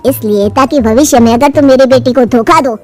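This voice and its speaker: A woman's voice: high-pitched, brisk, a little mellow. A woman voicing a shrewd, protective, wealthy middle-aged father in a storybook tale. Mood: stern, crafty. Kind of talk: animated storybook dialogue. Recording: music under the dialogue.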